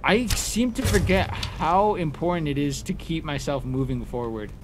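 A man's voice: fairly high pitch, moderate pace. A man giving casual, informal and sweary commentary as he plays a fantasy video game. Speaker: gaming video creator. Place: indoor room, webcam gaming setup. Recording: noisy, game sounds behind voice.

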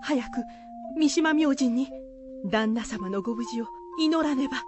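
A man's voice: very high voice, a little slow, music in the background, pitched above the performer's own voice. A man voicing an anxious, devoted samurai wife in a period radio drama, with earnest archaic speech. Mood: worried.

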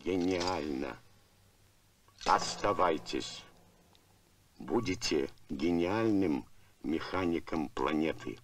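A man voicing a robot in an animated film, with a measured, deliberate delivery. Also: low-pitched, heavily acted. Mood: matter-of-fact.

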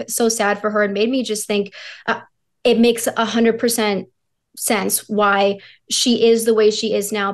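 An American woman in her twenties giving conversational opinion commentary as a guest. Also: medium pitch. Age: 20s.

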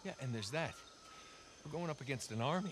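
A man's voice: mid-range voice, lively intonation, fairly quick, fairly bright tone, a little hiss or hum, close to an everyday voice. A man playing a rugged younger-brother adventurer in a video game, exasperated and wry, talking in a natural, incredulous way. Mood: doubtful, wry.

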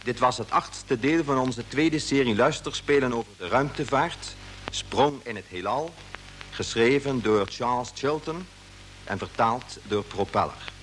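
A man announcing a radio play with formal, deliberate diction in a scripted 1950s broadcast style. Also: medium pitch, fairly bright tone, slight background noise, rough recording.

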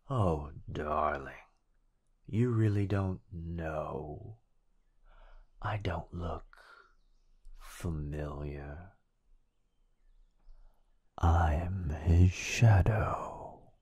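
Man voicing a slow, low, whispery possessive shadow. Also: a little mellow, full character voice. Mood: teasing, sinister. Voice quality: breathy, whispery. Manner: drawn-out, menacingly sweet delivery. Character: possessive, teasing living shadow of a sorcerer boyfriend. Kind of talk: ASMR roleplay.

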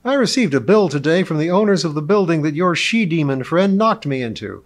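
A man voicing an arrogant, indignant male superhero in a storybook reading, loud, snappish and growling. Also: fairly high pitch, very animated, brisk, voice pushed up.

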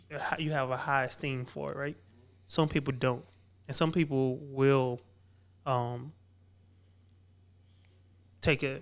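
A man's voice: medium pitch, fairly bright tone, smooth. A man in his thirties hosting a podcast in a barbershop space, talking casually and prompting his guests with questions. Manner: conversational, prompting, repeats "man". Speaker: podcast host. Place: barbershop event space, indoors. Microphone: podcast mic, headphones on.

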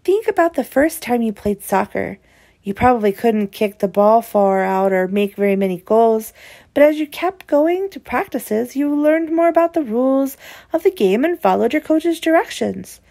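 A woman's voice: on the high side, lively intonation, moderate pace, vocal fry, warm tone. A woman reading a children's picture book aloud, explaining it expressively to young listeners. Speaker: read-aloud presenter.

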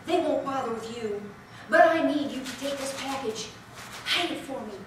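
A woman's voice: high voice, moderate pace, background noise, close to an everyday voice. An actress playing a mysterious young woman on the run in a live 1940s-style radio play. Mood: urgent. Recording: echoey room from far off.

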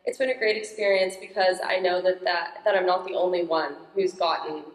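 A teenage girl with a naturally loud, upbeat voice speaks into a handheld microphone. Her slightly low voice rings around a large church sanctuary with long reverb. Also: steady tone, a little fast. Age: teens. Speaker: young parishioner guest. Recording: echoey church hall.

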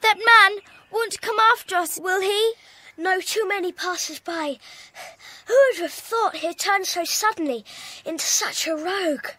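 Earnest, high-voiced schoolchild. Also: female voice, unhurried, pushed and bright, dry recording, heavily acted. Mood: anxious. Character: earnest schoolchild in a secret club. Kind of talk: children's audio drama acting.

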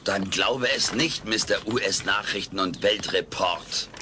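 An actor playing a cheeky, impatient, food-obsessed furry alien, wisecracking theatrically in a comedy audio play. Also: male voice, low voice, unhurried. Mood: smug. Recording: noisy old cassette transfer.

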